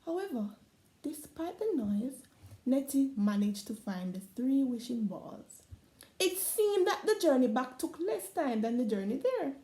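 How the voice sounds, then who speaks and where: A woman with a Caribbean accent reading a folktale aloud, expressive and prone to laughs and asides. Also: high voice, unhurried, roomy sound, poor audio. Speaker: storyteller.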